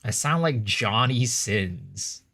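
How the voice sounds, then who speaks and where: A young American man giving casual gameplay commentary as a livestreamer, chatting loosely and thanking viewers with big excited bursts. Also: on the low side, takes it slowly, gravelly, breathy edge.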